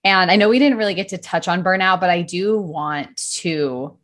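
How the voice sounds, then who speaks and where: Chatty new mom, laughs a lot. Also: female voice, fairly low pitch, moderate pace. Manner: chatty, laughs often, lively emphasis. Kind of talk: casual conversation.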